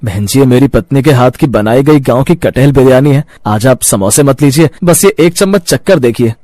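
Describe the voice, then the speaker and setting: A man's voice: mid-range voice, expressive, moderate pace, a little mellow, no echo, lightly acted. A man playing a polite, earnest village husband who sells street food in a cartoon moral story.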